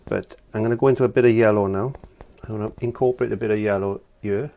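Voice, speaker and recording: A middle-aged man from Wales with a croaky voice from laryngitis, talking plainly step by step as he teaches acrylic painting. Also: on the low side, steady tone, fast, dark tone. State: hoarse from laryngitis. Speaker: art teacher. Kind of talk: painting tutorial.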